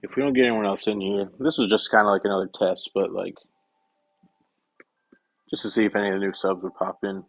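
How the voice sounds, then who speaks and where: Hoarse man with a gravelly cough-worn voice. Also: on the low side, steady tone, fairly quick, long pauses, no echo, poor audio. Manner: rambling, self-correcting, soft-spoken asides. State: hoarse, voice getting scratchy from a cough. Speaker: print shop owner streaming live. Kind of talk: casual live-stream chat while working.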